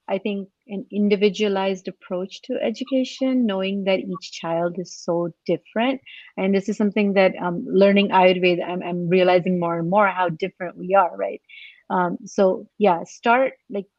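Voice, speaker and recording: A woman's voice: medium pitch, dry recording. A woman speaking unscripted on a podcast panel, thinking aloud a little tentatively. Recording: slightly dull, dry call audio.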